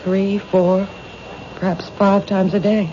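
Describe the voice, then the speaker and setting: A woman acting in a radio mystery as a calm but fearful bookshop owner, speaking hesitantly and with composure. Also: medium pitch, slow, lightly acted. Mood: unsettled. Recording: muffled, noisy old radio recording.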